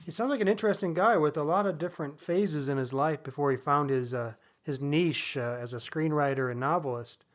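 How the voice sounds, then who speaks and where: A man with a somewhat high-pitched voice co-hosts a book podcast. He speaks casually, in an informal and wry way, as he comments on books and reading plans. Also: brisk, hardly any pauses. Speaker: podcast co-host. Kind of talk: casual book discussion and announcements.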